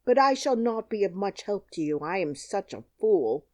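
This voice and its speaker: A woman's voice: medium pitch, sing-song, moderate pace, natural voice. A woman reading an audiobook, voicing a self-doubting male scarecrow who speaks modestly. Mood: humble.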